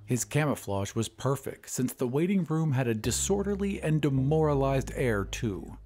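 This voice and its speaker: Expressive male storytelling narrator. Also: medium pitch, normal speed. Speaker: podcast host and narrator.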